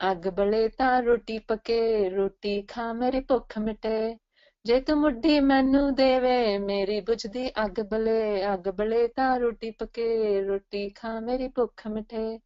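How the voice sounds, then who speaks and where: A woman's voice: a little high, darkish tone, clear, resonant tone, dry recording, muffled recording. Woman playing a pleading storybook old village woman. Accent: Punjabi. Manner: sing-song rhymed pleading. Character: pleading old village woman baking bread, folk tale.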